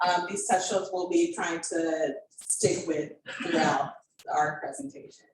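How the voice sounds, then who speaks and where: A woman's voice: lowish voice, measured pace. Woman leading a group event, reading out guidelines carefully, roomy sound, bit of background noise. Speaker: event co-facilitator. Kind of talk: presenting discussion guidelines to a hybrid audience. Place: indoor event room with online participants. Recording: echoey room, distant mic, faint noise.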